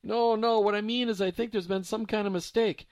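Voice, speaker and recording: A man's voice: high-pitched, fairly even intonation, brisk, darkish tone, smooth, no echo, voice pushed up, natural voice. A man voicing a polite, patient father who keeps trying to explain a mix-up. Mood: insistent. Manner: earnest, polite, repeats himself. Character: patient middle-aged father, baffled but polite.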